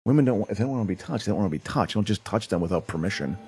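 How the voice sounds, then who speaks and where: A man's voice: lowish voice, expressive, racing. A middle-aged man co-hosting a casual podcast chat. He rambles and restarts his sentences, hedging his points. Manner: rambling, hesitant, many restarts. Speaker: podcast co-host.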